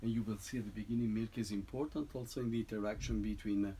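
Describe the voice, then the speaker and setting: Man explaining his piece haltingly. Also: lowish voice, steady tone, dark tone, slight background noise. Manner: halting, many restarts and tag "no?". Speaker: composer presenting a piece. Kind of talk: informal talk to an audience.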